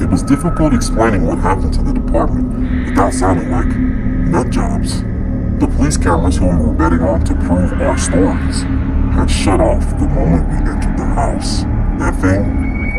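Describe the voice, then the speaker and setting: A man narrating a horror story as a grave police officer recounting a haunting, in a measured, ominous way. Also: deep voice, voice pushed down. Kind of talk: scripted horror story narration in first person. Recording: loud music under the voice. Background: loud background music.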